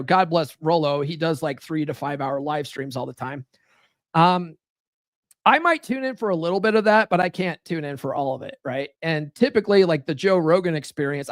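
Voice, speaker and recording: A man with a fairly high voice runs a podcast monologue in a loose, profane, rambling way. He leans on fillers and keeps tossing in "right?" to the listener. The sound is dry and close, with almost no room around it. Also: no room sound. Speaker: podcast host. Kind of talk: casual live-stream monologue.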